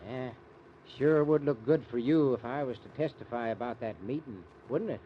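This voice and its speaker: An actor with an American accent playing a folksy, scheming small-town sheriff in an old-time radio drama, with a rustic drawl. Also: male voice, mid-range voice, normal speed, lightly acted. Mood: sly, insinuating. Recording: muffled, noisy old radio recording.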